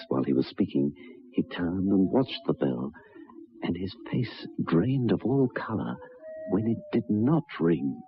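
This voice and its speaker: An actor playing a curious, courteous gentleman in an old radio drama, with formal, polite delivery. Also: extremely low pitch, full character voice. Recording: muffled, noisy old radio recording.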